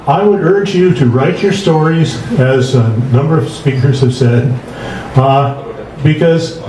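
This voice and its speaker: A man's voice: a little high, dark tone, slight background noise. An older man from British Columbia giving a rambling, earnest speech with many fillers at a community event, holding a microphone. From British Columbia, Canada. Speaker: guest speaker. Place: dim event hall, indoors. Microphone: handheld mic, filmed from across the room. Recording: roomy hall, distant mic.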